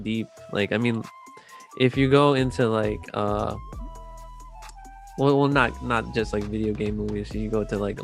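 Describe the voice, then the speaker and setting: A man in casual chat, speaking in a halting, slangy, opinionated way. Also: fairly low pitch, vocal fry. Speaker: podcast co-host. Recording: music under the voice.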